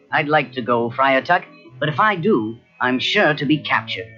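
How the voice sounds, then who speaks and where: A daring, friendly young outlaw hero played by a man speaks with confidence and a very animated, mid-pitched voice. The storybook cassette sounds slightly dull, with faint music. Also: unhurried. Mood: confident. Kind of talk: children's cartoon storybook acting.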